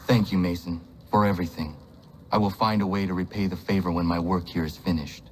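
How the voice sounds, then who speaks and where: A man's voice: deep voice, fairly even intonation, fairly quick, lightly acted. An actor playing a stern, earnest young male prisoner with a formal, blunt way of speaking, in a game drama. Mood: solemn. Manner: formal, blunt, without contractions. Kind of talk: dramatic game cutscene acting. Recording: clear background noise and ambience.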